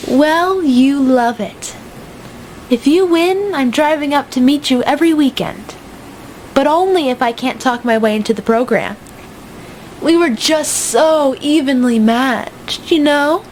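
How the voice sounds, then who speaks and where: A woman's voice: high-pitched, unhurried, a little mellow, a little hiss or hum, poor audio. A woman playing a playful, teasing young debater who is fond of her rival, in a radio drama.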